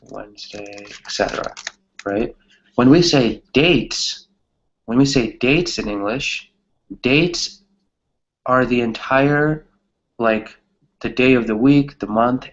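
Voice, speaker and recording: A man's voice: lowish voice, lo-fi sound, a little hiss or hum. A man teaching a conversational English grammar class, often checking in with a questioning tag as he explains.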